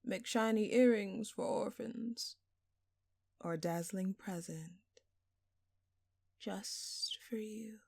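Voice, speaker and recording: Woman acting as a slow, smug, flirtatious thief. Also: medium pitch, fairly even intonation, gravelly, darkish tone, breathy edge, dry recording, studio quality, exaggerated voice. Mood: feigned innocence. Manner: teasing, theatrical, playfully sarcastic. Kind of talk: intimate scripted audio roleplay.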